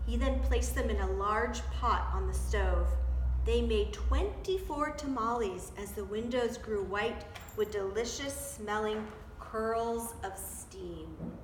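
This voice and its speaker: A woman reading a children's storybook aloud in an animated, dramatic way, pausing to put questions to her young listeners. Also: fairly high pitch. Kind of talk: expressive children's storybook read-aloud with asides. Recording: roomy echo, background noise.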